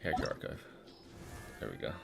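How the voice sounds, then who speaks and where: A man streaming a video game in a casual, informal way, thinking aloud and swearing now and then. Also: brisk. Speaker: game livestreamer. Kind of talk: casual stream chat with gameplay commentary. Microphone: streaming microphone. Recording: music playing behind the voice.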